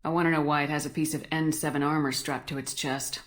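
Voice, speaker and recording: An actress playing a decisive, resolute spaceship commander in a video game. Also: female voice, sits low, fairly even intonation, fast talker, natural voice. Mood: firm.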